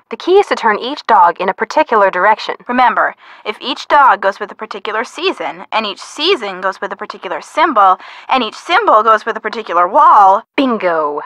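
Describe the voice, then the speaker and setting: A woman playing a young, chatty, teasing friend of a detective in a video game, with an American accent and an expressive, playful delivery. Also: medium pitch, fairly quick, no echo. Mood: helpful, coaxing.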